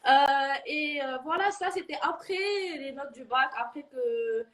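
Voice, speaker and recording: Young female student, Moroccan accent, high voice, informal and giggly, giving a relaxed testimonial. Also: measured pace. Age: early 20s. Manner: informal, giggly, peppers talk with slang and fillers. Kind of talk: casual interview chat.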